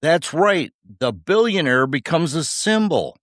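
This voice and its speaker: A man's voice: a little high, very animated, unhurried, a bit bright, no echo, studio quality. A man co-hosting a podcast, delivering scripted commentary in short, punchy rhetorical phrases with wry humour. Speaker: podcast co-host.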